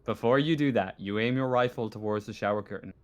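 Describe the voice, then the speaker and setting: A man narrating a tabletop roleplaying game as game master in a halting, conversational, improvised way. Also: on the low side. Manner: halting, conversational, repeats phrases.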